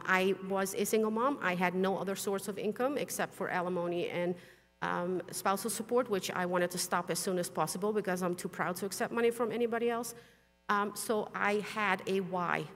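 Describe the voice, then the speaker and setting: A woman's voice: medium pitch, fairly bright tone. A middle-aged woman whose first language is Dutch, giving a frank, plain-spoken conference talk with some mild swearing. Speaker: conference speaker. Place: large conference hall. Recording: long hall reverb.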